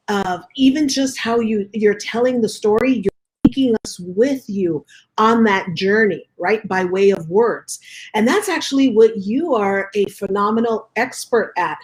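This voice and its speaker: Admiring woman interview host. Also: a little high. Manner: admiring, talkative. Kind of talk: casual interview chat.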